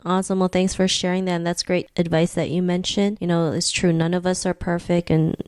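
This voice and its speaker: A woman's voice: fairly low pitch, level tone, fairly quick, a little mellow, clean tone, no room sound, studio quality. A woman hosting a podcast interview, chatty and encouraging with lots of "you know.". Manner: encouraging, chatty, many fillers. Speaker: podcast host. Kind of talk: interview questions, casual chat.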